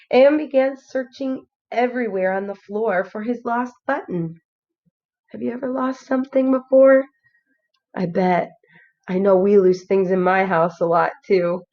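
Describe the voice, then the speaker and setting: A woman reads a picture book to young children at an unhurried pace. She is expressive, like a teacher addressing a class. The sound is slightly dull and lo-fi. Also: medium pitch. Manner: expressive, pauses to ask listeners questions. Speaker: kindergarten teacher. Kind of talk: children's storybook read-aloud.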